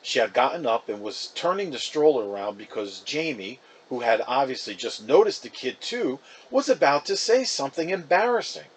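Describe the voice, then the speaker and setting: A man reading a children's novel aloud as expressive, conversational first-person narration. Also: mid-range voice, moderate pace, hardly any pauses, husky, rough recording.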